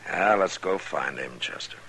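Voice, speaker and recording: Deep, forceful frontier marshal. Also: male voice, lively intonation, moderate pace, heavily acted. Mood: calm, decisive. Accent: American. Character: steady, authoritative frontier US marshal. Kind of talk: 1950s radio western acting. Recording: old radio recording, faint noise.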